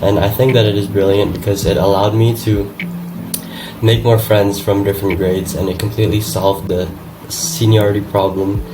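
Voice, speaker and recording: A man's voice: on the low side, level tone, normal speed, background noise. A young man whose first language is Indonesian gives an earnest testimonial about his former school, with fillers and restarts. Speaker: former student. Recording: distant mic under loud music.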